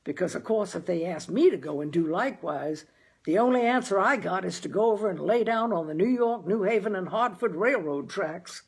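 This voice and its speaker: A woman voicing a gruff, dry-witted older New England father with a terse, husky delivery, in a story reading. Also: mid-range voice, expressive, a little fast. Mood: troubled. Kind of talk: audiobook character dialogue.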